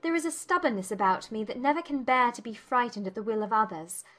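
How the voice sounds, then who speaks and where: A woman plays a witty young gentlewoman in a dramatized audiobook. Her voice sits high, with an arch, playful, spirited manner, and music runs softly behind her. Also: animated, fast. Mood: arch, defiant.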